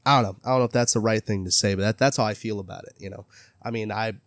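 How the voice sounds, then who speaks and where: A man's voice: lowish voice, steady tone, very fast, no room sound. A man hosting a casual interview, earnest and friendly, talking openly about his life. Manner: earnest, friendly, self-promoting. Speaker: interviewer, gaming content creator.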